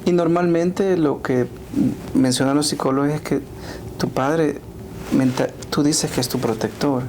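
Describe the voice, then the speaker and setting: A man's voice: on the high side, fairly even intonation, measured pace, a little mellow. Salvadoran male podcast host, casual interviewer, lots of fillers, light background noise. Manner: frequent fillers, affirming interjections. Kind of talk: conversational interview.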